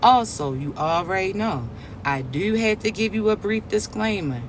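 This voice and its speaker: Raspy high-voiced man reading spiritual messages. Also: lively intonation, moderate pace, slight background noise. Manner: soothing, repetitive check-ins with listeners. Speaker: spiritual reader.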